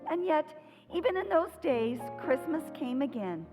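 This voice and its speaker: A woman's voice: sits high, very animated, a little slow, full character voice. A woman playing a fond, wistful mother narrating an old-time radio play with sentimental storytelling. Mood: nostalgic. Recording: loud music behind the voice.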